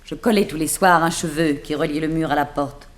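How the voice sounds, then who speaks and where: Woman acting undercover housemaid, lowish voice, guarded, defiant, devoted, terse dramatic delivery, 1960s radio serial. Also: fairly even intonation, a little fast, lightly acted. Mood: intense.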